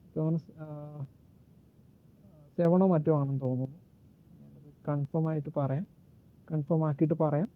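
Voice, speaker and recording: A man giving a casual, unscripted gadget walkthrough in a halting way, searching for words and correcting himself. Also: on the high side, steady tone. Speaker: gadget reviewer and demonstrator. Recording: muffled phone-like narrow-band sound.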